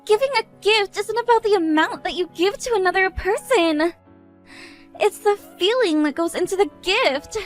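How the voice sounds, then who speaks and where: A woman's voice: extremely high pitch, normal speed, soft background music, voice pushed up. A woman voicing a drunk, mocking young woman who teases in a sing-song voice and keeps breaking into cackling laughter.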